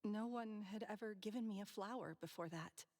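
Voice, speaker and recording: An actress playing a gentle, sentimental widow reminiscing wistfully in a dramatic video game. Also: female voice, medium pitch, a little fast, slight background noise, close to an everyday voice.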